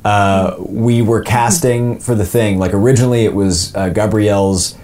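A young man talks through interview answers in a relaxed, meandering way, circling back with fillers and false starts. His voice sits very low. He is an actor and co-producer describing his work. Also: normal speed, a little hiss or hum. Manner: rambling, many fillers and restarts.

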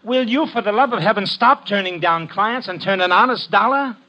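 A man's voice: high voice, fairly quick. A man playing a wisecracking, stubborn detective's assistant, brash and informal, in a 1950s radio drama. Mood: exasperated, pleading. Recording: dull vintage 1950 radio recording.

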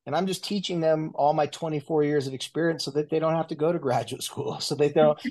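A man's voice: fairly high pitch, steady tone, fast talker, darkish tone. A man in his forties, a therapist, talking loosely in a casual interview with many fillers and restarts. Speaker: guest, family and marriage therapist.

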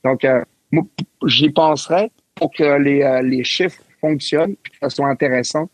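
A man on a sports panel, debating hockey trades in an animated way and often cutting in on the others. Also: a little high, moderate pace, no echo, poor audio. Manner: animated, interrupts and talks over others.

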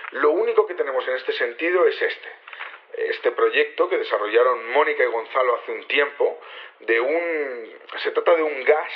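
A man's voice: mid-range voice, lightly acted. A dull, lo-fi radio-like recording of a male rebel leader from Spain played by an actor. He explains his plans in a naturalistic way, with small hesitations, as in an audio drama. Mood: explanatory. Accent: Spanish (Spain). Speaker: actor in a fiction podcast.

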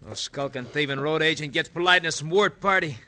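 Hard, sharp-voiced surly gunslinger. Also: male voice, fairly high pitch, a little fast. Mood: angry, threatening. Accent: American. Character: surly, hot-headed, threatening western gunslinger henchman. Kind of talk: 1950s radio western acting.

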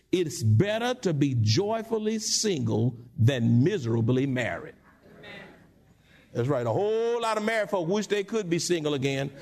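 A male pastor delivers a sermon in a church, his voice sitting high and swinging widely in pitch. He calls on the congregation to answer back and breaks into sudden squeaky asides. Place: church sanctuary.

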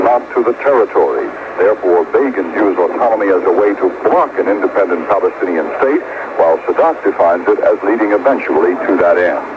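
Male correspondent, noisy phone-quality feed, low voice, scripted reporting. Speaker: foreign news correspondent. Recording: tinny phone-like line with clear noise.

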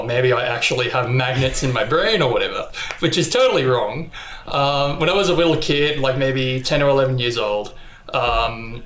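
A young Australian man talking casually in an interview, relaxed and chatty with frequent ums and likes. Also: medium pitch, a little hiss or hum. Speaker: interview guest, athlete. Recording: echoey room.

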